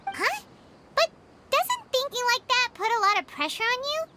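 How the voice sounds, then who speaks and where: A woman voicing a tiny, perky female companion sprite in a video game, with a squeaky, childlike voice. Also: very animated, normal speed. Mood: perky, curious. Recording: loud background noise.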